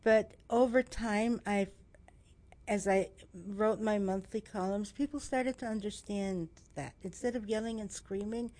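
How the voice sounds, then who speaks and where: A woman talks as a radio guest with emphatic, animated energy, laughing between points. She recounts her board experience in a mid-pitched, everyday voice. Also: a little slow. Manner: emphatic, animated storyteller who laughs often. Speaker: former homeowners association board member. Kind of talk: conversational radio interview.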